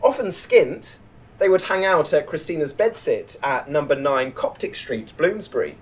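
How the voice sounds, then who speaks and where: A man narrating a written short story in a measured, literary read-aloud delivery. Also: fairly high pitch, lively intonation, slightly rough. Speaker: writer reading a short story.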